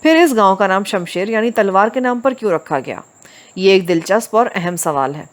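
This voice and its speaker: A woman narrates a crime novel. Her voice sits at an average pitch for a woman. The delivery is that of scripted audiobook reading.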